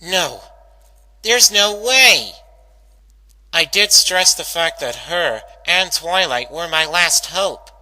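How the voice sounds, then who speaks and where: Man as nervous young cartoon pony mare, hesitant, high-pitched, very sharp tone, dramatic storybook monologue. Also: animated, takes it slowly, heavily acted, rough recording. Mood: doubtful, self-arguing. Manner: hesitant, self-arguing, dramatic inner monologue. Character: anxious young female cartoon pegasus pony. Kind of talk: dramatic fan-fiction reading.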